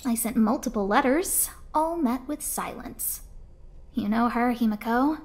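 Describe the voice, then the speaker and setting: High-voiced, earnest young lead researcher played by a woman. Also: measured pace, darkish tone, natural voice. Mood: concerned. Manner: polite, articulate, formal. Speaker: game character, space station lead researcher. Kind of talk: anime game voice acting. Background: game background music.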